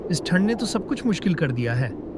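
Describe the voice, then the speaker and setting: A man voicing a humble, worried poor village father in an animated moral story. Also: sits high, a little fast. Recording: clear background noise.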